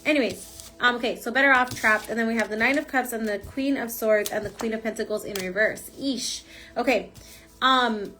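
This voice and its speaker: A woman's voice: a little high, moderate pace, pushed and bright, soft background music, poor audio. A woman giving a casual, rambling tarot reading with lots of fillers, her voice stuffy from a cold. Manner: chatty, rambling, frequent fillers. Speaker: tarot reader.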